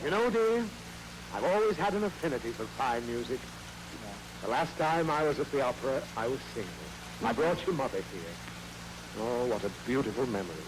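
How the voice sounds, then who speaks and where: Guy playing a pompous fed-up dad, bouncy highish voice, hissy old radio. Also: expressive, a little fast, close to an everyday voice. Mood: exasperated. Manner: pompous, exasperated. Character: long-suffering blustery father. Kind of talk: 1940s radio comedy acting. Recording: crackly old radio recording.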